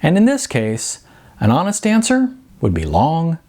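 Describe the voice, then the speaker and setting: A man reading aloud from his own novel in an expressive, wry storytelling voice. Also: a little high, measured pace. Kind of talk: audiobook-style reading.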